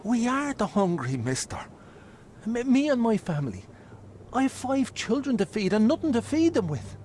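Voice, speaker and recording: A man's voice: extremely high pitch, sing-song, normal speed, darkish tone, slight background noise. An actor voicing a poor, humble family man in a video game, stammering and deferential like a desperate beggar. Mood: desperate pleading. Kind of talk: video game cutscene dialogue.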